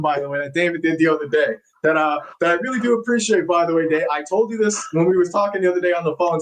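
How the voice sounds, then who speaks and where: A man's voice: fairly high pitch, poor audio. A man appearing as a guest actor on a casual talk show, chatty and self-deprecating, laughing at himself as he tells stories. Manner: hesitant, many fillers and restarts. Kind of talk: casual interview chat.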